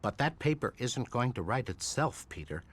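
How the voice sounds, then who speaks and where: A man's voice: mid-range voice, big pitch swings, moderate pace, fairly bright tone, breathy edge. An actor playing a stern male science professor who lectures a struggling student. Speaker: university professor.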